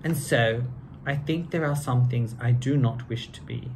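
A man's voice: medium pitch, unhurried, a little mellow, a little hiss or hum, poor audio. A middle-aged man with a British accent reading a children's story aloud in a theatrical, genteel manner. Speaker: co-host of children's read-aloud channel. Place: living room with piano, indoors.

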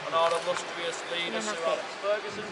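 A man's voice: fairly high pitch, steady tone, fast talker. A man, guiding a group on a stadium tour and calling them folks. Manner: upbeat, addresses the group as folks. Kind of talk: guided tour talk. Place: stadium stands and brick corridors. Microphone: filmed on a visitor's camera from a distance. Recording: distant camera audio, loud crowd noise.

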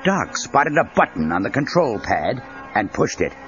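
A man's voice: medium pitch, very animated, moderate pace, a bit bright. Guy narrating a kids adventure tape, lots of up and down, dull cassette sound. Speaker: narrator of a children's read-along story. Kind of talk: scripted dramatic storybook narration. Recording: dull cassette with music underneath. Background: background music.

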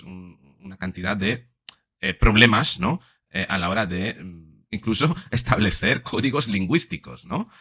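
Commentator, male, 40s, accent of Spain. Lowish, bright voice, explanatory and conversational, close-miked in a home studio. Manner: explanatory, often adding question tags. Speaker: commentator, psychologist. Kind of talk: casual speech analysis to camera. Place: small home studio room. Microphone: desk microphone up close.

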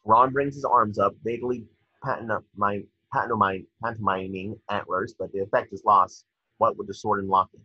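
Young male narrator for screenplay directions: husky, forceful, dry and slightly muffled. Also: lowish voice, steady tone, normal speed, no room sound. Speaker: narrator reading stage directions. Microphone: computer mic on video call. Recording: dull, dry video-call audio.

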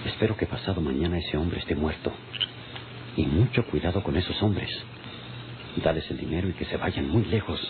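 Wicked young heir, bass-deep, curt orders, tinny old radio drama. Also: male voice, normal speed. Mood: guarded menace. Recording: muffled, noisy old radio recording.

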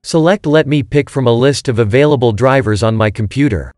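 A man's voice: mid-range voice, a little fast, a little mellow, dry recording, clean studio sound. A man reading scripted step-by-step instructions in short commands, as a tutorial narrator. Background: background music.

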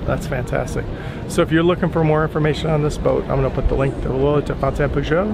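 An upbeat middle-aged man hosts a boat-show walkthrough, his voice a touch high and eager. He tosses leading questions to his guest and closes with hyped sign-offs. Loud outdoor marina noise runs behind him throughout. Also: flat delivery, fast, vocal fry. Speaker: boating vlog host. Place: outdoors on a docked yacht at a marina.

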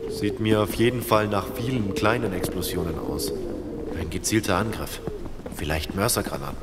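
An actor playing a steady, observant male survivor who takes the lead in an audio drama. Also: on the low side, moderate pace, very noisy, lightly acted. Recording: reverberant space, loud outdoor ambience.

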